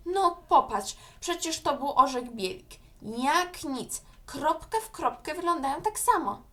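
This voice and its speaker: Woman as a bookish, high-voiced boy. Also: animated, unhurried, fairly bright tone. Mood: confident. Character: bookish, matter-of-fact boy with animal atlas. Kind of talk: children's audio story.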